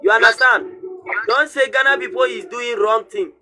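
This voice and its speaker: High-voiced man over crowd noise. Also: moderate pace. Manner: insistent, argumentative. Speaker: participant. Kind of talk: online live chat. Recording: noisy crowd behind.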